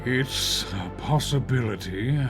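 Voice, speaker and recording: An actor playing a serious, measured police chief in an audio drama, briefing officers in a grave, deliberate way. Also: male voice, mid-range voice, music in the background, natural voice. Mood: serious, businesslike.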